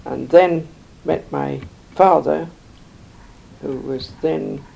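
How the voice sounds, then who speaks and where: An older woman from England, slowly recalling her life in an interview, rambling with many pauses and fillers. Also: low voice, creaky, dark tone, husky, poor audio. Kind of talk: oral history recollection.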